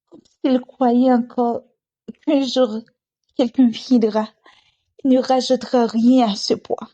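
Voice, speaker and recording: A woman performing a dramatic spoken-word monologue in short, weighted, deliberate phrases, with a soft, breathy voice. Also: fairly high pitch, little pitch movement, highs cut off.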